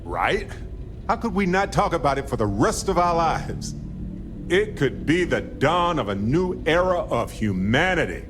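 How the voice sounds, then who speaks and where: An actor playing an excitable, awkward space scientist in a video game, speaking in a halting, earnest, nerdy way. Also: male voice, a little high, background noise. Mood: excited, flustered.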